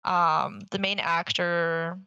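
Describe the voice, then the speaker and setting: A woman co-hosting a podcast in casual, excitable chat, informal and given to swearing. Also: fairly low pitch, takes it slowly, smooth, no echo, muffled recording. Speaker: podcast co-host. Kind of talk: casual enthusiastic chat about anime.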